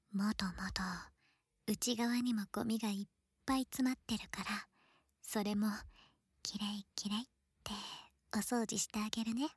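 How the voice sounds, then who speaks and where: A woman playing an affectionate, teasing young girl best friend in an ASMR roleplay, playful and breathy, often slipping into whispers close to the microphone. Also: medium pitch, fairly even intonation, a little slow, long pauses, no echo. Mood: gentle soothing. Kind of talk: Japanese ASMR situation voice drama, close intimate roleplay. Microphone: close ear-to-ear ASMR microphone.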